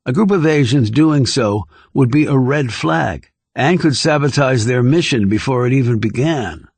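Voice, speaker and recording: A man reading scripted thriller narration in a measured, composed way. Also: medium pitch, darkish tone, slightly rough, dry recording. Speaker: audiobook narrator.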